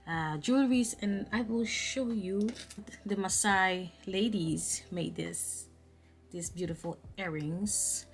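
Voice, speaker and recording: Chatty woman from the Dominican Republic. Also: on the low side. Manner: chatty and expressive, many restarts and fillers. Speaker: travel vlogger. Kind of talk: casual storytelling to camera.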